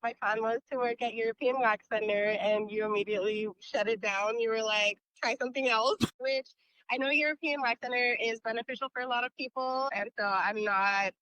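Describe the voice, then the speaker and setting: Woman guest, fairly high pitch, smooth clear voice, casual, informal, thinking aloud. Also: fairly even intonation, brisk, a bit bright, no room sound, poor audio. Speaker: podcast guest, esthetician. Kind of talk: casual conversational interview answers.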